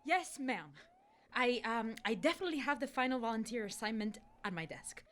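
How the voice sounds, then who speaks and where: A woman's voice: fairly high pitch, animated, a little fast, fairly bright tone, a little hiss or hum. A woman playing an eager, bungling Chief of Staff in a comedic radio play, flustered yet overconfident. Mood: sheepish, flustered.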